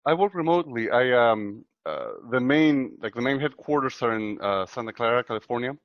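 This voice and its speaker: A man from Chile in his thirties, talking in a casual interview as the guest, soft-spoken and hesitant with many fillers. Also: medium pitch, brisk, no echo, muffled recording. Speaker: guest computer scientist.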